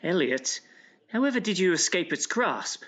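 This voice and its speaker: An actor playing an eerie, solemn, questioning man in a gothic audio drama, hushed and dramatic. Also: sits high, big pitch swings, moderate pace, full character voice. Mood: anxious, probing. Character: eerie, solemn priest and questioning male listener.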